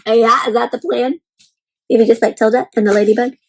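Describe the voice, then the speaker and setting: A woman's voice: on the high side, fairly even intonation, fairly quick. A woman hosting an interactive children's picture-book read-aloud, speaking expressively and encouragingly in simple phrases and putting questions to her young listeners.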